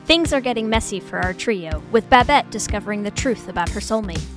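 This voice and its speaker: A woman's voice: mid-range voice, lively intonation, a little fast. A woman reading scripted narration as a podcast announcer. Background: prominent music.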